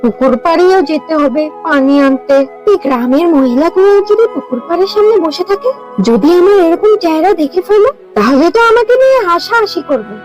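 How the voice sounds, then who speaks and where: Very high-pitched, a woman playing a vain young village wife from a cartoon fable, full of melodrama and complaint. She whines and frets about her looks, with music playing under her. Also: sing-song, fairly quick, darkish tone, poor audio. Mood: irritated, fretful. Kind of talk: Bengali moral-story cartoon dubbing. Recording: music behind the voice.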